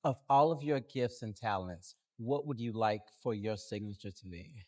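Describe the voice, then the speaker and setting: A man hosting a podcast, asking his guest questions in a measured, earnest way. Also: on the low side, a little mellow. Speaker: podcast host. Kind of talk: interview questions.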